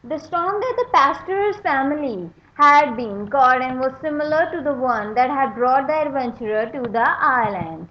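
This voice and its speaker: A woman reading a children's book aloud, in a deliberate, explanatory manner for young readers. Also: high voice, expressive. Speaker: read-aloud storyteller, channel host. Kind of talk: children's book read-aloud with asides on pictures. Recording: dull lo-fi sound, faint background noise.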